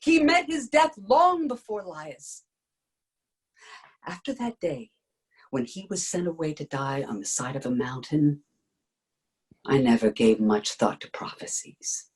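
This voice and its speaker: An actress playing a queen calmly reassuring her husband, sometimes dropping to a whisper. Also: low-pitched, takes it slowly, long pauses, husky, heavily acted. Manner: calm reassuring, drops to whisper. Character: queen soothing her husband, adult woman. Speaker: actor in dramatic reading.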